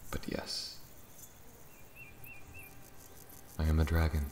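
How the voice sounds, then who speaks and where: A man voicing an ancient, proud and amused dragon in elven-looking mortal form, in a slow, deliberate fantasy ASMR roleplay. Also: extremely low pitch, completely flat delivery, a little mellow, slight background noise. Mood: condescending, amused. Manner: slow, deliberate, theatrical, breathy near-whispers at times. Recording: roomy echo, faint nature ambience with crickets.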